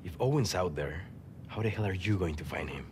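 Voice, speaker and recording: A loyal game soldier, low voice, blunt and casual, rumble in the background. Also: male voice, lively intonation, brisk, a little mellow. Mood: concerned. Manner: casual, plain-spoken. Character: loyal, blunt young male militia soldier. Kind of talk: naturalistic game cutscene acting.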